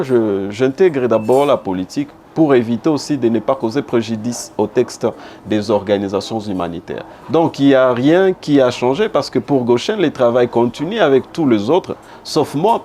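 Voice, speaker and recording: A man with a Congolese accent answers as a politician in a broadcast interview. His voice sits at a middle pitch and he speaks emphatically, repeating phrases for rhetorical weight. There is faint background noise. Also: mellow. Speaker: politician and former humanitarian worker being interviewed. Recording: background noise and room ambience.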